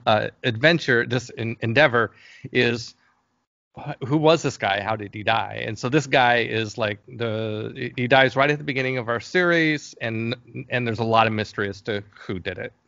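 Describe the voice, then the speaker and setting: A man talking casually as an interview guest, telling stories in a hesitant but enthusiastic, halting way. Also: mid-range voice, creaky, sharp tone, no echo, dull audio. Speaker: interview guest, game creator. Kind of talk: casual interview talk, storytelling.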